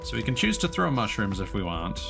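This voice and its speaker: A man streaming a video game, reading instructions aloud carefully and adding relaxed asides. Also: on the low side, fairly quick, vocal fry. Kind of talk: let's play commentary, reading on-screen text aloud. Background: game music.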